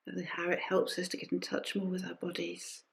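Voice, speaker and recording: A woman leading a guided meditation as a teacher, speaking gently and unhurriedly in short phrases with pauses and soft invitations. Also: lowish voice, monotone, gravelly. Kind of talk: guided body scan meditation. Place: recorded during an online session.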